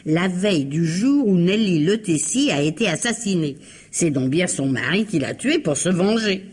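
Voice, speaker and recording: A nosy older neighbour woman in a mystery radio play. Her husky voice swings up and down as she confides her gossip with theatrical, knowing insistence. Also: on the low side, animated, normal speed, a little mellow. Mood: confiding, insistent.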